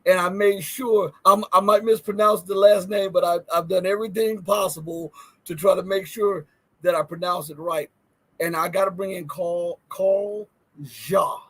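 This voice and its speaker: High-voiced, husky male host. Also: steady tone, normal speed, a bit bright. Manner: earnest, wordy introductions. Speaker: podcast host. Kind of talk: interview host.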